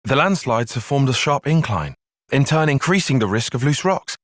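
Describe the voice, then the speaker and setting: Young tactician, fantasy video game, analytical, articulate, husky edge, lively intonation, close dry sound. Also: male voice, medium pitch, moderate pace, no room sound. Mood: serious, analytical. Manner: precise, formal, articulate phrasing. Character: earnest, analytical young male soldier and strategist in a fantasy game. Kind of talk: video game cutscene acting.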